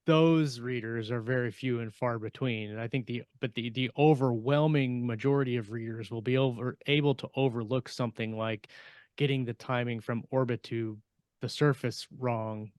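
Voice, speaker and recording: A man talks casually as a podcast co-host, working through his thoughts in a halting way. His pitch sits in the middle, and the close, dry sound has little room in it. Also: steady tone, a little mellow, clear, resonant tone, no echo. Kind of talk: casual panel discussion.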